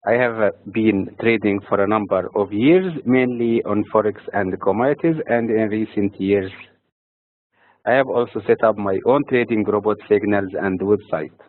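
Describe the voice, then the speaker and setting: A man from Egypt hosting a weekly financial market update, reading dates and figures in a steady, measured way. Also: on the low side, no echo. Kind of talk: scripted market commentary. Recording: dry, phone-like narrow sound.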